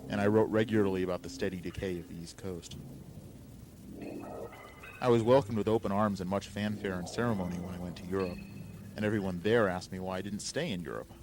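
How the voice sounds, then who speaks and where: A man's voice: low voice, a little fast, background noise. A man reading a first-person story as narration. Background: music.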